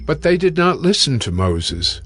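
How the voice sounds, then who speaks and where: A man's voice: a little high, very animated, fairly bright tone. Solemn male scripture narrator, music underneath. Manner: solemn, measured.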